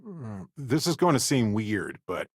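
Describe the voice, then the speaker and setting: An actor playing an affable, witty, talkative space explorer in a video game, with an expressive, wry, folksy way of speaking. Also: male voice, lowish voice, measured pace, husky, dry recording, clean studio sound, full character voice. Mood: casual, conversational. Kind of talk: scripted video game character dialogue.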